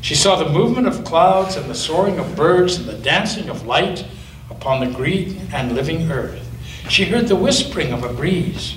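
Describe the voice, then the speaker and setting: Expressive male pastor reading a story. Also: on the high side, a little hiss or hum. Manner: expressive storytelling with slow emphasis. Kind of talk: reading a children's story aloud. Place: church. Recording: echoey church from a distance.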